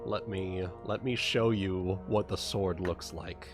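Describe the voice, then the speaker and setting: Male game master, lowish voice, slow and halting delivery, explaining rules over faint background music. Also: steady tone. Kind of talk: narrating and explaining a tabletop game.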